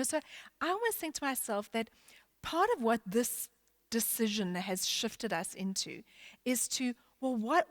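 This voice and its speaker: A woman's voice: on the high side, dry recording. A woman church leader speaking in a church panel discussion, thinking aloud with many restarts and fillers.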